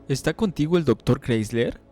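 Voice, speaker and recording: An exasperated gentleman acted by a male reader, startled and questioning, in an audiobook drama. His voice sits at a middle pitch over faint music. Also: animated, moderate pace, natural voice.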